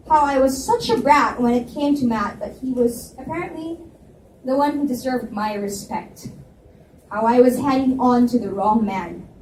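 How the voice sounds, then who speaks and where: A woman giving an expressive first-person reading of a romance novel at a live event in a library. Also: fairly high pitch, slight background noise. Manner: expressive narration with dialogue. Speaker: reader, first-person narrator. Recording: echoey library room, mic at a distance.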